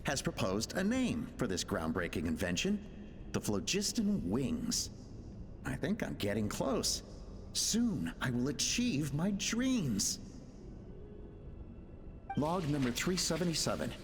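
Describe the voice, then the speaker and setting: Casting: driven inventor narrating his log for a game, mid pitch, lively inflection, drenched in long reverb. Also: soft background music, heavily acted. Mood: troubled. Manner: earnest, deliberate log-keeping delivery. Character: ambitious, driven male inventor reading out his research log. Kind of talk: English video game voice acting.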